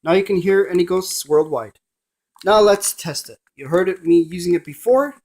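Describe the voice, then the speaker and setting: Higher-pitched man demonstrating a gadget. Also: animated, moderate pace, darkish tone. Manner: talks in short fragments, narrating each step. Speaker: presenter of a gadget review. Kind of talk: casual hands-on product demonstration.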